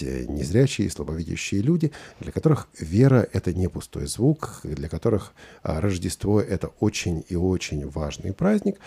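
Man hosting a live studio stream, deep voice, gravelly, dark husky tone, animated joking delivery. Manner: lively, joking, conversational. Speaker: host. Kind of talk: live stream talk show.

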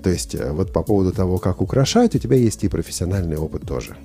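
A man hosting a live studio talk show, lively and joking with his co-host. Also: low-pitched, quick pace, soft background music.

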